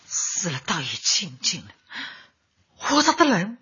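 A woman's voice: on the low side, huge pitch swings, a little slow, pushed and bright, husky, exaggerated voice. An actress in a radio drama playing a grief-stricken elderly grandmother, tearful and pleading. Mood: despairing. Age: older. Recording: dull lo-fi, music behind.

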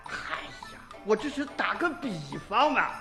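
An actor playing a blustering, exasperated rural village head in a Chinese radio drama, talking in a folksy, roundabout way. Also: male voice, high voice, big pitch swings, unhurried, creaky, exaggerated voice. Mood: explaining. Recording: roomy echo, faint music behind.

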